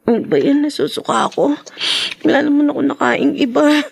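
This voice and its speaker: An actress playing an anxious, aggrieved young housemaid in an emotional Tagalog radio drama. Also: female voice, high-pitched, lively intonation, a little fast, vocal fry, no room sound, exaggerated voice. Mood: distressed, fearful. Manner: emotional, melodramatic.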